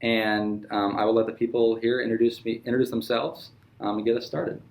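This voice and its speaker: A man's voice: mid-range voice, level tone, brisk, low-quality recording. A young man hosting a casual podcast, introducing guests and asking questions with many fillers and restarts. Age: young adult. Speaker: podcast host.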